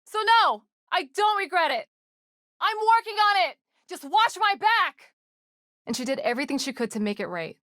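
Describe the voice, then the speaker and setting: A woman's voice: very high-pitched, very animated, fairly bright tone, dry recording, heavily acted. Woman psychologist for a horror game: composed, earnest, clinically precise, very dry studio sound. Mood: urgent, shouting. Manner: precise, measured, formal wording. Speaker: video game voice actor. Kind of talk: scripted game dialogue acting.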